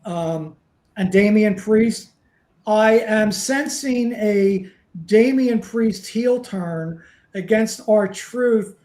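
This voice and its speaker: A man's voice: high voice, drawn-out words, darkish tone. A man of about seventy co-hosting a casual chat podcast, wry and dry in his delivery. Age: 60s. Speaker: podcast co-host. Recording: roomy echo.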